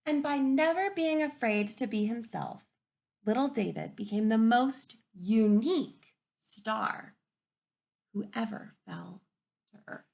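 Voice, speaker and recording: A woman teacher reading a picture book aloud to young children, gentle and encouraging, often dropping to a soft whisper. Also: a little high, slow pace, vocal fry. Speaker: kindergarten teacher. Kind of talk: read-aloud storybook lesson for young children.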